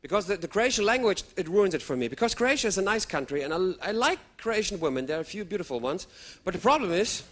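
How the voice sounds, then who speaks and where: Animated high-voiced man. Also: quick pace, hardly any pauses. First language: German. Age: 30s. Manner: animated, with sudden loud outbursts and put-on voices. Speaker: stand-up comedian. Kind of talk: stand-up comedy routine. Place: stage before a live audience.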